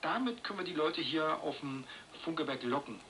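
A man's voice: a little high, animated, fairly quick, sharp tone, slight background noise, rough recording. A man hosting a casual radio talk, heard through a shortwave radio receiver. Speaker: radio host. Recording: shortwave radio broadcast picked up on portable receiver.

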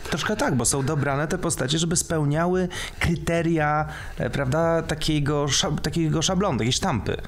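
A man's voice: a little high, normal speed, hardly any pauses, slight background noise. A man co-hosting a casual discussion about films. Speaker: co-host of a film discussion show.

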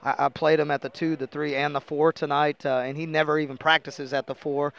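American guy coach doing a postgame interview, slightly high smooth voice, explains stuff, says you know a lot. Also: level tone, fairly quick, fairly bright tone, lo-fi sound. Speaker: basketball coach. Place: indoor interview table at a sports arena. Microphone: headset mic.

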